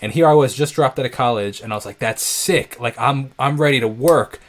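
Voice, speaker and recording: A man's voice: mid-range voice, brisk. A young man from New York hosting a casual interview, telling stories energetically with lots of fillers. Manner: energetic, animated storytelling with many fillers. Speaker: interview host.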